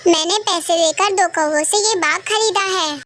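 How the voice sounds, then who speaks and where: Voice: very high voice, normal speed, pushed and bright. A performer voicing a small cartoon bird in a children's story, with a simple, emphatic delivery. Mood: puzzled, insistent. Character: high-pitched cartoon birds: parrot, crow wife, sparrow. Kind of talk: Hindi animated children's story dialogue.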